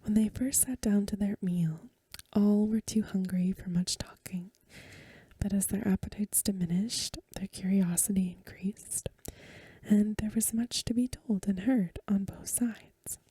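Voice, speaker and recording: A woman reads a story aloud in a hushed, breathy, mostly whispered ASMR style, unhurried and soothing, close to the microphone. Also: mid-range voice, fairly even intonation, no room sound. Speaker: ASMR reader and host. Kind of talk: soft-spoken ASMR reading of a Victorian story.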